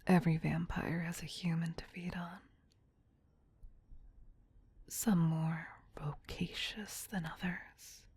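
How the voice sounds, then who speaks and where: A woman performs a possessive, doting vampire queen for an ASMR roleplay. She speaks in a very breathy, hushed whisper with a slow, lingering pace. Her coaxing endearments are soft and tender. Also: fairly low pitch, full character voice. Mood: tender, soothing.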